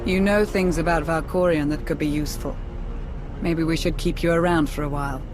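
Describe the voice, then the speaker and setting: An actress voicing a calm, practical female Sith ally in a video game, speaking matter-of-factly. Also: deep voice, a little fast, background noise, natural voice. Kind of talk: video game cutscene acting. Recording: dialogue over loud game score.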